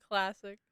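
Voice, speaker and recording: A woman streaming a game live, chatting casually and playfully reacting aloud. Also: fairly bright tone.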